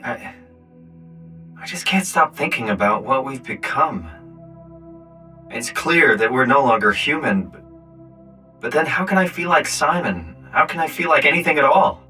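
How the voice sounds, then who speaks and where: A man's voice: medium pitch, expressive, unhurried, pauses often, bright, forceful tone, soft background music. An actor playing a bewildered, earnest everyman in a video game, with a questioning, hesitant manner. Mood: troubled. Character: bewildered, earnest everyman whose mind is in a machine body.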